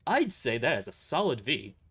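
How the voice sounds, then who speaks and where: A man's voice: medium pitch, very animated, moderate pace, fairly bright tone, slightly rough, pitched above the performer's own voice. A man voicing a cocky, brash mercenary squad leader in an audio drama, boastful, casual and dismissive.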